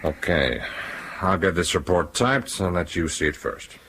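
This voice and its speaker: A man's voice: low-pitched, animated, measured pace, fairly bright tone, breathy edge, full character voice. An actor playing a brusque, self-justifying man in a radio drama. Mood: matter-of-fact. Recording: radio drama with faint background noise.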